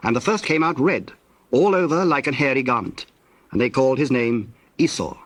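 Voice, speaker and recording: A man narrating scripture from a script in a measured, formal storytelling manner. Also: medium pitch, expressive, no room sound.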